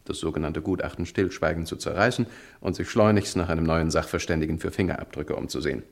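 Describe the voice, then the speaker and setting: An actor playing a smug male criminal in a 1960 radio crime drama, condescending, amused and deliberate. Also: very deep voice, vocal fry, heavily acted. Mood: amused, superior. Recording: vintage 1960 radio recording.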